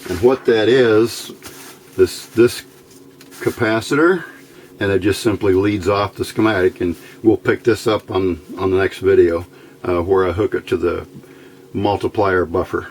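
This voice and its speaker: A man's voice: low-pitched, steady tone, measured pace. A man giving a casual step-by-step demonstration as a hobbyist instructor, folksy and muttering to himself as he works. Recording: clear background noise.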